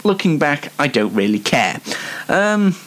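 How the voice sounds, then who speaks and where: Young British man, animated rambling chat. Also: fairly high pitch, unhurried, low-quality recording. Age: young adult. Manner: chatty, rambling, self-deprecating. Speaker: host of a personal show. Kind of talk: casual anecdotal monologue.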